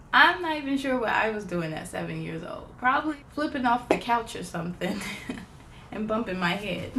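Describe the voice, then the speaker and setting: A woman hosting a children's storytime, reading a picture book aloud in an excited, expressive, giggly way. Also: mid-range voice, slight background noise.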